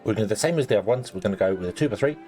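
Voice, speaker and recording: A man commentating his gameplay casually, rambling with lots of ums and restarts, and a little croaky as he loses his voice. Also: fairly low pitch, expressive, very fast, a little mellow, soft background music. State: slightly croaky, losing his voice. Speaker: let's play host.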